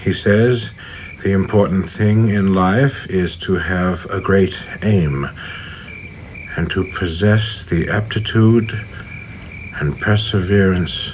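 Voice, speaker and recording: A reserved, ambitious government man in a radio drama. His voice is deep, his manner formal, dutiful and controlled, with faint noise in the background. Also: slow, rough recording. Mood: weary, resolute. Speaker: actor in a radio play. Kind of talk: dramatic radio play acting.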